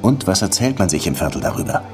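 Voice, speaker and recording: An actor playing a world-weary, hard-boiled middle-aged police detective in a German radio crime drama. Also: male voice, low voice, expressive, fast talker, soft background music. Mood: probing, terse. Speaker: lead actor and first-person narrator.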